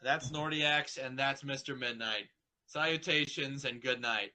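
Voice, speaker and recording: A man's voice: mid-range voice, measured pace, bright, forceful tone, poor audio. A man hosts a casual online group chat in an ordinary mid-range voice. He rambles and stammers, easily pulled off track as he talks. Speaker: story reader hosting an online group call.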